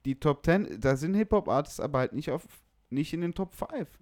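A man's voice: on the high side, lively intonation, a little fast, darkish tone, no room sound, clean studio sound. A young man from northern Germany chatting casually about music, slangy, blunt and opinionated. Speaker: music producer, podcast guest.

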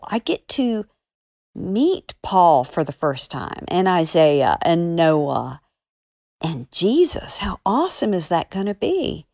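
A woman speaking as a children's author and podcast guest, encouraging young listeners with earnest, deliberate emphasis. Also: medium pitch, warm tone, no echo, studio quality.

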